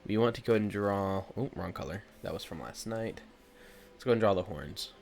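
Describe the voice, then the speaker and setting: A man's voice: fairly low pitch, normal speed, gravelly, a little hiss or hum. A young man with a stuffy nose chatting casually with viewers as he draws, rambling with lots of fillers. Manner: rambling, filler-heavy, talks to chat. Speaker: art streamer. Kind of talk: casual chat with viewers while drawing. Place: indoors at home.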